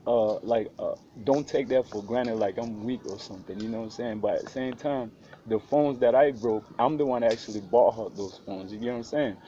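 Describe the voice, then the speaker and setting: A man's voice: mid-range voice, a little fast, mellow. Laid-back man. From South Sudan. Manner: laid-back, street slang fillers. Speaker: interview guest. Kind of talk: casual interview talk. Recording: muffled with faint background noise.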